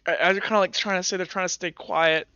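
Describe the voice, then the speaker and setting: A young man with a bright, clear voice running a tabletop roleplaying game. He narrates the scene and explains the rules in a halting way, full of fillers and self-corrections, at a slightly raised pitch. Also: steady tone, dry recording, lo-fi sound. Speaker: game master.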